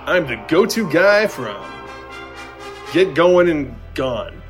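A man's voice: a little high, expressive, slow pace. A man doing casual podcast banter and playful show narration. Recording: reverb on voice under music.

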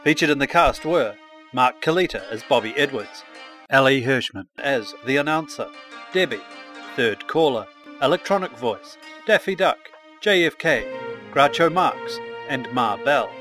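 A man's voice: mid-range voice, expressive, unhurried, pushed and bright. A man reading scripted production credits as an announcer. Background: music.

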